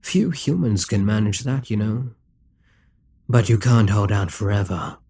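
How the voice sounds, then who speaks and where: A man playing an immortal Demon King in an ASMR roleplay, cold, calculating and condescending, with a husky, commanding delivery. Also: fairly low pitch, a little slow, mellow, no echo, studio quality. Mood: hypnotic, commanding. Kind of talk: ASMR audio roleplay, dramatic monologue.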